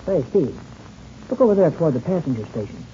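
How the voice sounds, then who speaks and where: An eager, friendly teenage boy pilot in an old radio adventure serial. His delivery swings up and down with curiosity, and the tone is very dark. The recording is dull, with a light hiss. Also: on the high side, very animated, a little fast. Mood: excited recognition.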